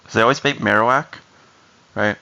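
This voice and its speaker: A man's voice: medium pitch, a little fast, gravelly, sharp tone. A man from Brazil whose first language is Portuguese, chatting casually on a live stream, explaining strategy and answering chat.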